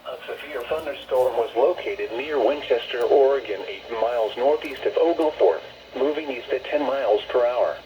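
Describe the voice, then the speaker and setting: A man's voice: mid-range voice, normal speed, a crowd in the background. A man with a synthetic, formal announcer delivery, reading scripted automated weather warnings as a weather radio announcer. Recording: noisy, band-limited weather radio broadcast.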